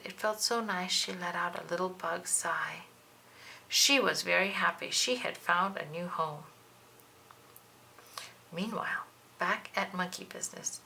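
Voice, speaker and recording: A woman's voice: mid-range voice, gravelly, pushed and bright, poor audio. A woman reading a children's storybook aloud, narrating expressively and dramatically. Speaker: children's book reader.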